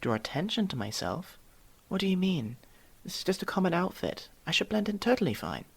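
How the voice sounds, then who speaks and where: Someone acting a husky, curious young elf. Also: medium pitch, sing-song, a little fast, exaggerated voice. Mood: earnest, indignant. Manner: inquisitive, slightly formal, hesitant stammers. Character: curious, proper young elf mage student from another world. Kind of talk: ASMR roleplay, one-sided dialogue with the listener.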